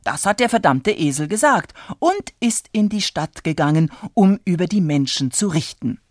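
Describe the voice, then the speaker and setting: A narrator voicing a farmer's sly wife, glibly spinning lies in an animated way in a folk tale. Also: medium pitch, normal speed, no room sound, studio quality, natural voice. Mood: feigned innocence. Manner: animated, tall-tale telling.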